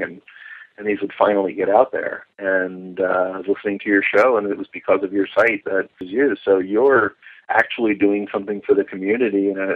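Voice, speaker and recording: Deep-voiced man on a dull phone line. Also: slightly rough. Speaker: podcast guest, radio drama enthusiast. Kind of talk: conversational interview, explaining at length.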